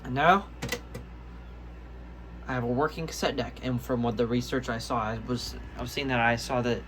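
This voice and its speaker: A man with an average-pitched voice talks through a casual show-and-tell for his viewers. He rambles, stops and restarts, often correcting himself mid-sentence. The recording is plainly noisy, with the ambient sound of an indoor room. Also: fairly even intonation, fairly bright tone. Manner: rambling, halting, self-correcting. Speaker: hobbyist video maker. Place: indoors, room with audio gear.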